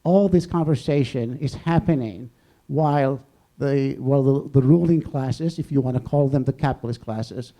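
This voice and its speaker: A man speaking off the cuff as a professor in an academic discussion, discursive and halting, rephrasing as he goes. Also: medium pitch, dark tone. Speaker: professor on a debate panel. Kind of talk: extemporaneous academic discussion before an audience.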